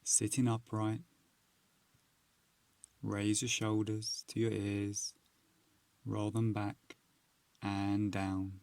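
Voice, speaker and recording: A man narrating a guided meditation, giving soothing, measured instructions with long pauses. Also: on the low side, monotone, darkish tone.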